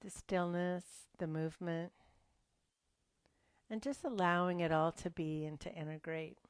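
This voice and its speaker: A woman leading a gentle yoga and breathing class, speaking softly and sometimes dropping to a whisper. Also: on the low side, clean tone, dry recording. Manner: slow, soft-spoken and gentle, drifting into whispers. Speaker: yoga instructor.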